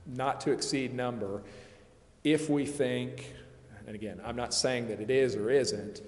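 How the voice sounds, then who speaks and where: A man's voice: medium pitch, unhurried. A man explaining rules at a public meeting, carefully qualifying points and working through hypothetical examples. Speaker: consultant advising a city committee. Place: city council chamber. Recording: echoey meeting chamber, faint background noise.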